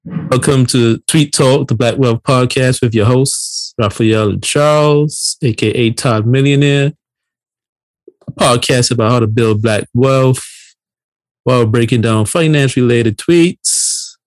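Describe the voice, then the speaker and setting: Male podcast co-host, late thirties, from Barbados. Casual delivery, mid pitch, dry recording. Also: measured pace, no echo. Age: late 30s.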